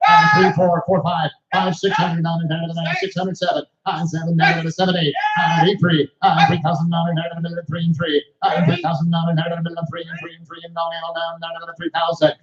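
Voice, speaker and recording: A man's voice: on the high side, fairly even intonation, a little fast, few pauses, echoey room, highs cut off, over a noisy crowd. Man chanting a cattle auction. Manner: rhythmic repetitive bid calling. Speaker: livestock auctioneer. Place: cattle sale ring.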